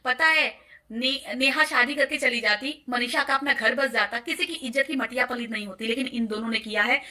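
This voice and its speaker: A woman's voice: fairly high pitch, moderate pace, bright, forceful tone. A woman vlogger giving heated commentary to viewers, accusatory and insistent as she addresses them directly. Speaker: vlogger presenting call recordings.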